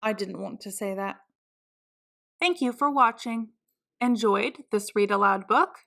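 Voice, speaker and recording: A woman's voice: medium pitch, measured pace, vocal fry, fairly bright tone. A woman reading a children's book aloud in a theatrical storytelling style, with exaggerated exclamations.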